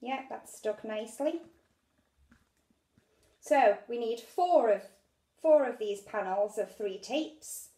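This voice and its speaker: British woman, middle-aged, casual craft tutorial presenter. Unhurried delivery, recorded in an echoey room at home. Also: fairly high pitch, lively intonation, mellow. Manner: unhurried, talking while working with her hands. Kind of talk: casual hands-on craft demonstration. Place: indoors at a dining-room table at home.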